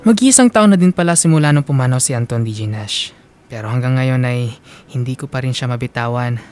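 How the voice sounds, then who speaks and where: A man's voice: medium pitch, brisk, darkish tone, soft background music. A man reading a scripted first-person radio story in a mournful, sentimental manner. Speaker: radio drama narrator.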